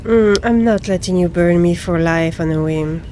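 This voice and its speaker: Lowish-voiced woman roleplaying through a game. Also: normal speed, mellow, slight background noise. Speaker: roleplaying gamer. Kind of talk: in-character video game roleplay commentary.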